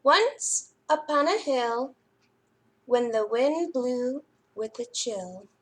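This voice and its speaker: A woman storyteller reading aloud to young children in a deliberate, sing-song way. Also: sits high, lively intonation, slight background noise, poor audio.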